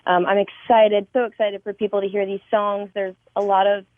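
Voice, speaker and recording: A woman guest on a radio show talks openly about her music in a warm, heart-on-her-sleeve way. Her voice comes through a thin, phone-like remote line. It sounds dry and close, with no room echo. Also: medium pitch, little pitch movement, normal speed. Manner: warm, effusive, emotional, laughs often. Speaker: singer-songwriter guest. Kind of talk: casual interview chat.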